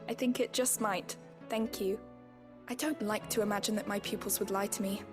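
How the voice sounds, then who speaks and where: A woman playing a polite, earnest, gently firm schoolteacher in a fantasy video game. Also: medium pitch, steady tone, over music, lightly acted. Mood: concerned, firm. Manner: measured, well-spoken.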